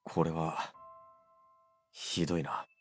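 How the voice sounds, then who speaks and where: A man voicing a young male visual novel protagonist who mutters low and stunned. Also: level tone, a little slow, breathy edge, no room sound, soft background music, full character voice. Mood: grim.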